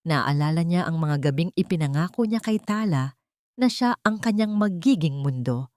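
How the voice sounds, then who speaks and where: Low-voiced woman narrating dramatic fiction. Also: animated, normal speed, a little mellow, no room sound, studio quality. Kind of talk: dramatic scripted story narration.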